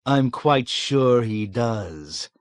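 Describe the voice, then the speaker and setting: Brief: man playing a cool, mocking colonel, slow ironic drawl. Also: medium pitch, lively intonation, dry recording, studio quality, natural voice. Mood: sly irony. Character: sly, acid, unruffled male colonel.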